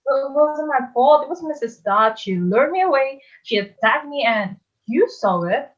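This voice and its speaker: A woman's voice: sits high, animated. Woman playing an awkward schoolboy hero, dull sound. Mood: hurt protest. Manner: halting, protesting, conversational. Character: defensive, awkward schoolboy hero, misunderstood and sincere. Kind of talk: musical theatre table read. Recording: dull band-limited sound, music behind.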